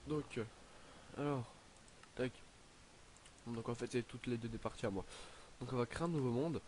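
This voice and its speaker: A teenage boy narrating his game in a casual, hesitant way, filling pauses with "donc, euh" and sounding slightly stuffy from a cold. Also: mid-range voice, background noise. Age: teens. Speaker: gaming YouTuber. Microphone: headset.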